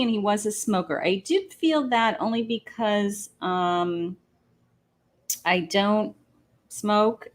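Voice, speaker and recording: Slow, hesitant woman giving psychic readings. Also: mid-range voice. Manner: slow, hesitant, many ums, thinking aloud. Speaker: psychic medium host. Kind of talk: live-stream psychic reading, casual. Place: home patio.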